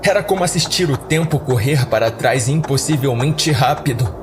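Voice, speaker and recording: A man narrates a horror story as a scripted podcast piece. His voice sits at an average pitch, delivered dramatically, with clear music running underneath. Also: expressive, normal speed.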